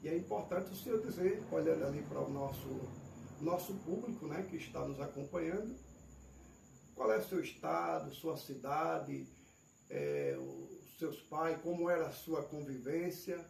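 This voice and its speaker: A pastor with a Northeastern Brazilian accent conducts an interview in a formal, ceremonious manner. His slightly high voice has a gravelly edge. He sounds off-mic in an echoey room with faint noise. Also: animated, a little slow, a little mellow, distant mic. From Pernambuco, Brazil. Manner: ceremonious, polite, addresses guest formally. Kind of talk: formal church-style interview.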